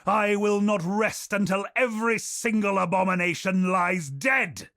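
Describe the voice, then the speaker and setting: A man voicing a fierce, vengeful warrior woman in a video game, in a raised, strained voice that breaks into shouting. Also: high voice, a little slow, voice pushed up. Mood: defiant.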